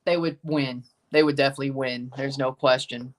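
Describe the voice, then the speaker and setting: A man's voice: mid-range voice, fairly even intonation, fairly quick. Southern American male streamer, loose and chatty, mild cursing, a bit distant from the mic. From United States. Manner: rambling, casual, mild swearing. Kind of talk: live match watchalong chat.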